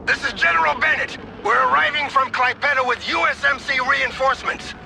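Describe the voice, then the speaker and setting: Gravelly, high-pitched male general. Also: fairly quick. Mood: wry, amused. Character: wry, assured male general arriving with reinforcements. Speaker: military general. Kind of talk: scripted video game radio message. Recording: noisy field transmission with vehicle sounds.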